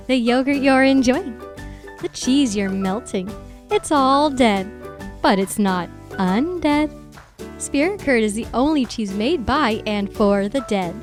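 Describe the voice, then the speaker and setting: A woman acting as an eerie, deadpan advertiser in a morbid mock radio commercial. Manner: morbid, deadpan sales pitch. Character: eerie female advertiser of cheese for the dead.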